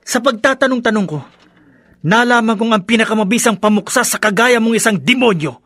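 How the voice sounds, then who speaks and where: An actor playing a vengeful man who hunts demons, melodramatic, with a high, raspy voice in a horror radio drama. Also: a little fast, fairly bright tone, dry recording, studio quality. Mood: taunting, vengeful. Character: vengeful taunting human male, hunting a demon with cross.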